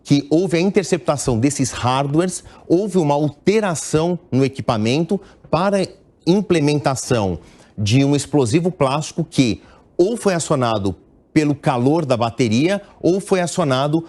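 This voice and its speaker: A middle-aged Brazilian man speaks as an international news editor, laying out an analysis in a measured, explanatory way. His voice sits a little higher than most men's, and he pauses to restart phrases as he thinks. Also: a little mellow. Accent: Brazilian Portuguese.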